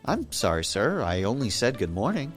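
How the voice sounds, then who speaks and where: A man's voice: on the low side, wildly swooping pitch, moderate pace, faint music behind, voice pushed down. A man voicing a friendly young steam engine in a storybook, earnest and polite. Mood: apologetic, puzzled.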